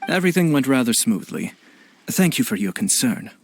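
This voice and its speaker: A man voicing a courteous, composed young nobleman in a video game, formal and measured. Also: mid-range voice, very animated, vocal fry, heavy background noise. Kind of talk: video game character acting.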